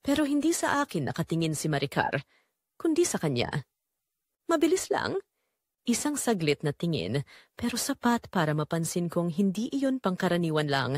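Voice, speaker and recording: Filipino woman narrating drama, expressive swings in pitch. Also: normal speed, no room sound, clean studio sound. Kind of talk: scripted first-person dramatic narration.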